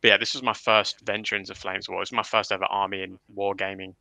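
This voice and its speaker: A man with a low, bright voice and plenty of fry talks casually about his hobby. He is self-deprecating and chatty. The sound is close and dry. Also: level tone, fast, no room sound, low-quality recording. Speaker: livestream panel member. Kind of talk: casual hobby chat about miniature wargaming.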